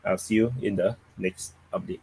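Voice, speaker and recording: Singaporean guy hosting a live stream, kinda low voice, rambly casual chat with the viewers. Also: steady tone, normal speed, gravelly, darkish tone, slight background noise, rough recording. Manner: rambling, thinking aloud while reading the chat.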